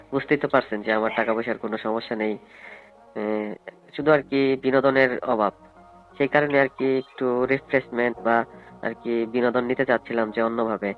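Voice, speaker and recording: Wealthy male client played by a man, quick, hesitant yet persuasive delivery, tinny phone sound with faint music. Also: mid-range voice, fairly even intonation, natural voice. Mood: insistent, persuasive. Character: wealthy male event client, politely insistent, propositioning.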